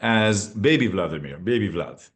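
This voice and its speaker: This is a man hosting a podcast interview. His voice sits fairly low. His questions are long, and he feels his way through them with fillers and restarts. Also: animated, normal speed. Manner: rambling, searching questions with fillers. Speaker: podcast host.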